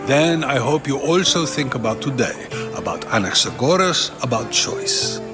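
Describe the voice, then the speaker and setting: An actor playing a probing, eloquent ancient Greek philosopher in a video game, measured and questioning. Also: male voice, mid-range voice, sing-song, music in the background, close to an everyday voice. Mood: gently reproachful. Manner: musing, questioning, measured phrasing. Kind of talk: video game cutscene acting.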